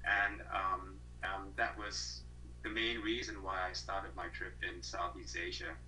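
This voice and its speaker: A man's voice: sits low, level tone, a little slow, sharp tone, a little hiss or hum, rough recording. A man in his thirties from Malaysia, a guest on an interview over a video call, talking thoughtfully with many fillers and restarts.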